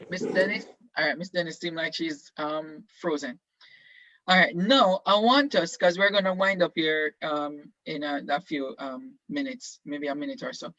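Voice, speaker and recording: Bright-voiced man teaching over video call. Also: high-pitched, dry recording. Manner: encouraging, questioning, many fillers. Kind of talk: leading an online class discussion. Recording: dull lo-fi video call audio.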